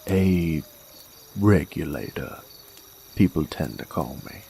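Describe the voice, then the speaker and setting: Guy doing a low slow gravelly werewolf bounty hunter, old west drawl, smug n taunting, audio drama thing. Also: lively intonation, mellow, slight background noise, heavily acted. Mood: calm, menacing. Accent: American Western drawl. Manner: folksy, taunting, unhurried drawl. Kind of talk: Western audio roleplay, intimate dramatic monologue. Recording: faint outdoor night ambience with crickets. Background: crickets and insects.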